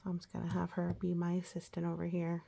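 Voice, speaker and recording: A woman's voice: fairly low pitch, monotone, quick pace, vocal fry, warm tone. A woman reading a children's picture book aloud as a storyteller, with chatty asides and childlike enthusiasm.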